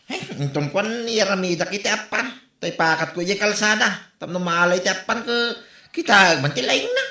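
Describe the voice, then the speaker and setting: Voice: medium pitch, very animated, normal speed, sharp tone. An actor playing a boastful, quarrelsome drunken husband in an Ilocano comedy audio drama, blustering and exclaiming. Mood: grumbling. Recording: echoey room.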